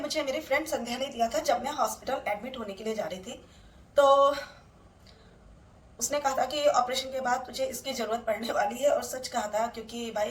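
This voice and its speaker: A woman's voice: high voice, a little hiss or hum. Middle-aged woman vlogger with a sore throat and a cold, chatty and homely, recorded from a distance in an echoey room at home. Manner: chatty, homely, addresses viewers as friends. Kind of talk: casual home vlog talk to viewers. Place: at home, indoors. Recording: roomy sound from a distant mic.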